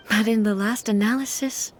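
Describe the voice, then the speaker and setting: A woman's voice: medium pitch, slight background noise, natural voice. A woman playing a composed, regal ruler. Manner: measured, formal, dignified. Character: regal composed female ruler, calm and reflective. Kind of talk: video game voice acting. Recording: game music behind.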